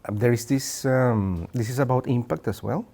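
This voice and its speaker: A man asking an academic interview question in a careful, deliberate way. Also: mid-range voice, gravelly, mellow.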